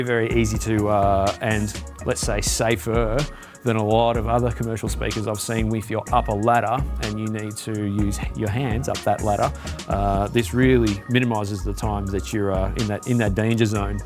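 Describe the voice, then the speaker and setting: An Australian man, a business development manager, giving a conversational product walkthrough with lots of filler words and restarts. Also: fairly low pitch. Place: demo facility.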